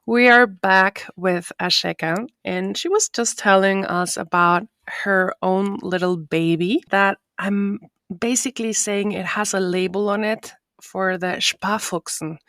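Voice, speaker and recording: A woman's voice: mid-range voice, steady tone, a little slow, fairly bright tone, no room sound. Host: woman, mid-range voice, upbeat and jokey, dry close-mic sound. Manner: friendly, chatty, joking. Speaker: podcast host. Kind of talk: hosting an interview podcast.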